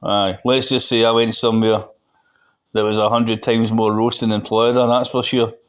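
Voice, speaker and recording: A man's voice: lowish voice, little pitch movement, normal speed, fairly bright tone, clear, resonant tone, close to an everyday voice. Haunted Glasgow cabbie played by a male reader, hesitant and earnest, audiobook dialogue. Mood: wry. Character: frightened, haunted Glaswegian cab driver, male.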